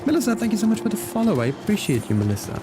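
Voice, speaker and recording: A young Flemish man, a native Dutch speaker, streaming a game and chatting with viewers, animated and laughing often. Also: racing, music in the background. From Belgium. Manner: animated, laughs often, excitable pitch jumps. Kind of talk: casual live-stream chat reading comments.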